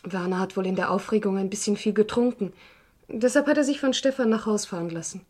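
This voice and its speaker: An actress playing a composed, frank woman under police questioning in a crime radio play, measured and articulate. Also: mid-range voice, darkish tone, lightly acted. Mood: candid, troubled. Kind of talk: German crime radio drama acting.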